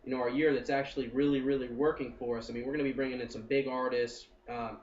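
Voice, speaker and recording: A man's voice: mid-range voice, little pitch movement, fast talker, darkish tone, slight background noise. Young man rambling in a roomy, distant recording. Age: 20s. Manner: rambling, upbeat, with frequent "I mean" fillers. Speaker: entertainment company co-founder. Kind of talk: casual podcast interview. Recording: roomy, distant and dull sound.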